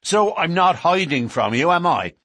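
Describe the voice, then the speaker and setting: Guy doing an angry young tough shouting back, husky, real dry sound. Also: fairly high pitch, very animated, moderate pace, no room sound, voice pushed up. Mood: defiant. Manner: loud and fierce, shouting. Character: surly, defiant young man, quick to anger.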